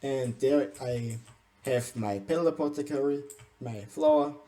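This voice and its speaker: A young Austrian man with an Austrian German accent gives a game base tour in English, his second language. His voice is dark and mellow, and he stumbles often, repeating and restarting words as he searches for them. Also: medium pitch, unhurried. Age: young adult. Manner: hesitant, frequent restarts and stumbles. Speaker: gaming channel host.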